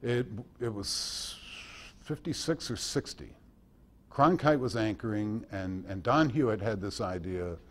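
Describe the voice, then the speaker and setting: Low-voiced man giving an informal talk. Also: a bit bright. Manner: halting, reflective storyteller. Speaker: guest speaker.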